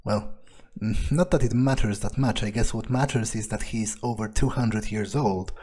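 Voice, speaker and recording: Man as young vampire, husky, casual, hesitant, wary, thoughtful, in-character game playthrough. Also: on the low side, moderate pace, dark tone. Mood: musing, wary. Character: reserved, wary male fledgling vampire, thinking aloud.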